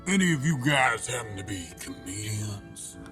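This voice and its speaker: A man's voice: lowish voice, very animated, normal speed, fairly bright tone. A man playing a pompous, sentimental crab detective who narrates his log in a grandiose, melodramatic comedy audio drama style. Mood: urgent. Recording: music behind the voice.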